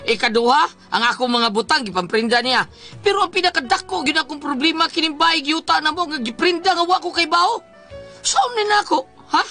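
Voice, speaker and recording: Man acting a high-pitched, exasperated Cebuano husband. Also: fairly quick, bright, forceful tone, faint music behind, heavily acted. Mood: aggrieved, pleading. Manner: exasperated, exclamatory, complaining. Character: distressed, suspicious Cebuano husband fed up with his wife. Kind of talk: melodramatic comic radio drama acting.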